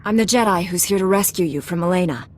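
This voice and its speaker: A woman's voice: on the low side, quick pace, close to an everyday voice. A woman as a self-assured, composed young knight. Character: confident, composed young female warrior monk knight. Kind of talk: dramatic video game acting.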